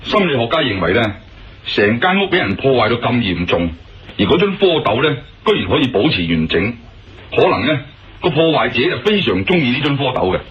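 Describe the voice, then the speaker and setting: A man's voice: mid-range voice, big pitch swings, a little fast, lightly acted. A man with a Hong Kong Cantonese accent playing a matter-of-fact police officer in a radio drama. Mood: explaining. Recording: lo-fi radio sound, faint background noise.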